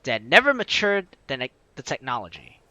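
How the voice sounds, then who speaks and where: A man's voice: mid-range voice, huge pitch swings, a little fast, bright, forceful tone, no echo, highs cut off. A man reading a story game aloud as a let's player, with dramatic narration and casual asides. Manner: dramatic reading, slipping into offhand comments.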